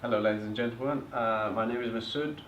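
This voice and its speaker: A man's voice: medium pitch, fast talker, a bit bright, slight background noise. A young man with a Bulgarian accent giving a public talk about his own story, earnest, with some broken grammar. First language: Turkish. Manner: earnest, plain speech, sometimes broken grammar. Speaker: presenter. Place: hotel function room. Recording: far-off, echoey, dull room recording.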